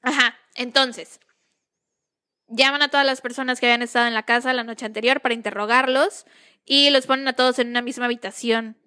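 A woman's voice: on the high side, fairly even intonation, fairly quick, sharp tone. A young Mexican woman with a chatty, slangy way of talking, casually telling a true-crime story as a podcast co-host. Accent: Mexican Spanish. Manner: chatty, slangy, laughs between lines.

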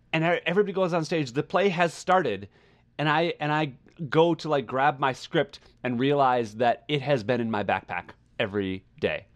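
A man co-hosting a podcast, talking casually and telling anecdotes in a conversational way. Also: medium pitch. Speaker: podcast co-host. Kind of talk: casual chat and personal anecdotes.

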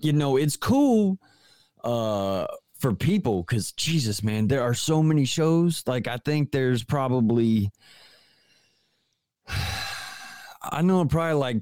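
A comedian from West Virginia chatting loosely over a remote call, joking and swearing freely. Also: male voice, medium pitch, very animated, measured pace, a little mellow, dry recording, studio quality. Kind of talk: casual podcast chat.

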